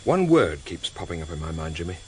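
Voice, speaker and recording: A man playing a dogged senior detective in a radio drama, deliberate and probing. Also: low-pitched, sing-song. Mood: brooding. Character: dogged, plain-speaking senior detective on his last case. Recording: hissy vintage radio drama tape.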